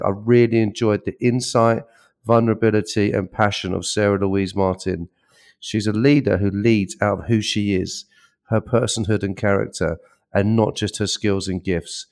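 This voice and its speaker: A man hosts a podcast and introduces his guest with eager, halting speech, often repeating words before he finds the next phrase. His voice sits fairly low for a man. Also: vocal fry, darkish tone. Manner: enthusiastic, hesitant with repeated words. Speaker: podcast host.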